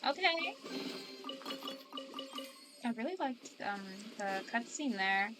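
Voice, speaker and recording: A woman's voice: on the high side, lively intonation, slow, music in the background. A woman streamer casually reacting to a video game and reading some of its text aloud.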